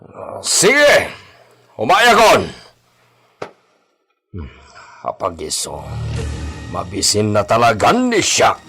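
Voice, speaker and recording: A man's voice: fairly low pitch, wildly swooping pitch, takes it slowly, creaky, bright, forceful tone, husky, soft background music, heavily acted. A man acting as an irritable, brooding master of a rural household in an Ilocano radio drama, given to muttering and outbursts. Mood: weary, hungry. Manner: exclamatory, swinging from muttering to outbursts.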